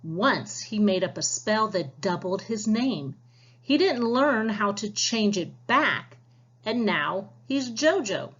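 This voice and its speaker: A woman's voice: medium pitch, animated, slow, fairly bright tone, slightly rough, muffled recording. A woman teacher giving a read-aloud science lesson, addressing children directly with instructions and prompting questions. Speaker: teacher reading a picture book aloud to young pupils.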